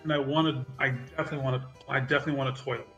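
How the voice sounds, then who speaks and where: A man's voice: medium pitch, fairly even intonation, very fast, fairly bright tone, highs cut off, soft background music. A man talking casually as a player in an online tabletop game, rambling and restarting his sentences. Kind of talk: casual chat during an online game session.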